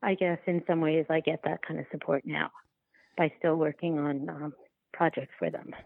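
A woman answering interview questions as a filmmaker, in a halting, conversational way with repeated words. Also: deep voice, fairly even intonation, vocal fry, no echo, phone-quality sound. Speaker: documentary filmmaker, podcast guest.

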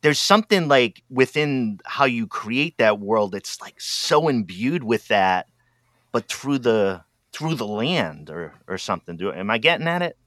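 A man's voice: mid-range voice, big pitch swings, unhurried, fairly bright tone, no room sound, studio quality. A man interviewing an author, asking questions hesitantly and fumbling for words. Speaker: interviewer, host. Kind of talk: casual interview conversation.

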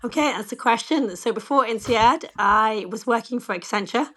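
A woman in her forties with a slightly croaky voice, answering interview questions candidly and with a self-deprecating touch, often saying "you know". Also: on the high side, moderate pace, pushed and bright. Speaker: bank chief executive, interview guest.

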